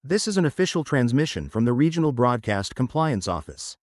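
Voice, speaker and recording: Man playing a grave official broadcast announcer, analog horror warning, formal, detached, measured, mid-pitched, very dry recording. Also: very animated, darkish tone, no room sound, natural voice. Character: solemn male emergency broadcast announcer issuing eerie official warnings. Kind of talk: scripted analog horror public advisory narration.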